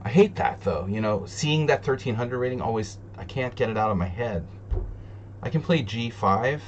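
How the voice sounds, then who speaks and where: A man streaming chess, thinking aloud in casual, deliberating commentary, muttering to himself between pauses. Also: medium pitch, animated. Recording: dull lo-fi sound, loud hum.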